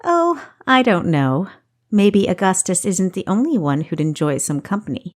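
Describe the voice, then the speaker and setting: A woman's voice: mid-range voice, big pitch swings, moderate pace, close to an everyday voice. A woman voicing a defiant, witty female thief in an audiobook, scoffing and playfully sarcastic. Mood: mischievous, sarcastic. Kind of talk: audiobook character acting.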